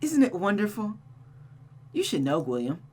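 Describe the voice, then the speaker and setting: A woman's voice: mid-range voice, very animated, normal speed, darkish tone, breathy edge, pitched above the performer's own voice. A woman voicing a seductive, taunting, unhinged female creature of vengeance and desire from a visual novel, in a mocking, cooing, theatrical manner. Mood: exalted, possessive. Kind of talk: visual novel character read-aloud.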